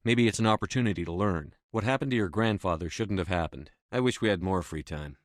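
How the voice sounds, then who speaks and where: A man acting a stern, steady space-navy commander in a video game, with a measured, dry, authoritative delivery. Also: sits low, no room sound, close to an everyday voice. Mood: grave, determined.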